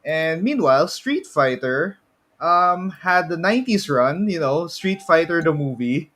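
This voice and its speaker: Halting man chatting on a podcast. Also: fairly high pitch, expressive, fairly bright tone. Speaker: podcast co-host. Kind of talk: casual pop culture podcast chat.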